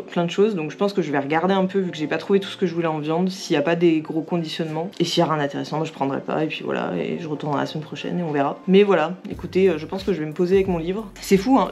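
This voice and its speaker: A hoarse young woman from France chatting casually in a home vlog, with many fillers and little laughs. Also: lowish voice, a little mellow. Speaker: vlogger. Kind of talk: casual daily vlog chat to camera.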